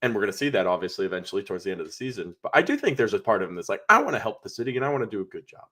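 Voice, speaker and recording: A man of about thirty co-hosting a watch party, with a low, bright and forceful voice that swings widely in pitch. He is playful and laughs easily, tossing in dark jokes. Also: sing-song, racing. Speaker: co-host. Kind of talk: casual live watch-party chat.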